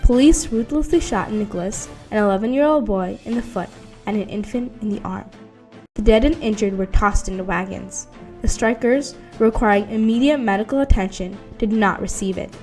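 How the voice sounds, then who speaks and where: A woman's voice: mid-range voice, normal speed, a little mellow. A woman reading scripted documentary narration. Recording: music under the voice. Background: background music.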